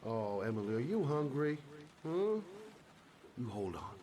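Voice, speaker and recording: A man playing a gruff but kindly middle-aged private eye in a video game, gently reassuring as he talks. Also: medium pitch, very animated, a little slow, slight background noise. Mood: tender, playful. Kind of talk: video game cutscene acting.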